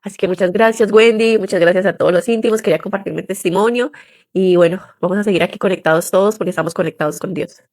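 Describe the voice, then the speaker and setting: A woman's voice: mid-range voice, fairly even intonation, quick pace, a little mellow, dry recording, studio quality. A young woman with a Colombian accent shares a personal testimony in an earnest, conversational way. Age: young adult. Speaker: listener sharing a testimony.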